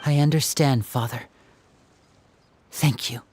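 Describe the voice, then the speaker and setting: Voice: mid-range voice, fairly even intonation, slow pace, darkish tone, slight background noise, natural voice. An actor voicing an earnest, respectful young man in a video game, dutiful and unsure of himself. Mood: humbled, grateful. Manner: earnest, respectful, self-doubting. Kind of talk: scripted video game dialogue.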